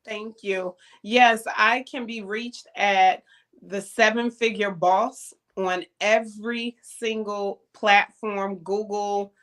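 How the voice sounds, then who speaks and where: A woman's voice: mid-range voice, steady tone, slow, a bit bright. A woman guest on a talk show, plugging her event and speaking in a motivational way. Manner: motivational, plugs her platforms. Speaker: summit founder guest. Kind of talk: promotional guest interview.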